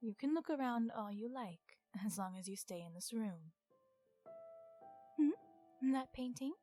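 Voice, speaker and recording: A woman voicing a centuries-old vampire in an ASMR roleplay, stern, irritated and possessive, with a measured, formal and often hushed delivery. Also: a little high, lively intonation, faint music behind. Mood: stern, composed.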